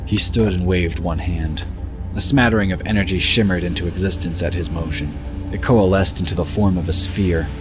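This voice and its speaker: A man's voice: low-pitched, fairly even intonation, normal speed. A man reading scripted narration for a fantasy audio drama. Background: music.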